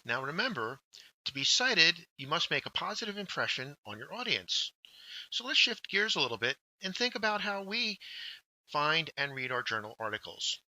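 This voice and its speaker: Bright, crisp male workshop presenter, lively intonation. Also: fairly high pitch, moderate pace, no room sound, low-quality recording. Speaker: workshop presenter, journal editor. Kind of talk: scripted instructional narration.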